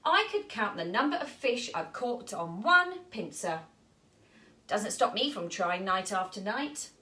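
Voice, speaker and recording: A wise old storybook crab, voiced by a woman, kindly and encouraging. The delivery is slow, with plenty of rise and fall. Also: medium pitch, very animated, pushed and bright, far from the mic. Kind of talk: children's storybook character voice.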